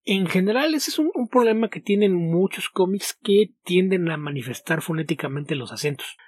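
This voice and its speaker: A man with a somewhat high, husky voice, talking in a casual podcast. He explains at length and in a steady way, often circling back to restart his phrases. The sound is close and dry, with little room. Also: moderate pace, no room sound. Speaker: podcast co-host, comic translator.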